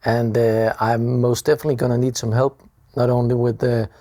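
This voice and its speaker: A man's voice: mid-range voice, level tone, fairly quick, dark tone, no room sound, clean studio sound. A man interviewing a footballer in English, friendly and self-deprecating, with many filler sounds. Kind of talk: casual interview.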